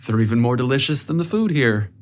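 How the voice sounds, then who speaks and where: A man's voice: mid-range voice, animated, quick pace, close to an everyday voice. A man playing a good-humored, reluctant young man in a narrated story, with a wry manner. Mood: wry, delighted. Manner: sighing, wry.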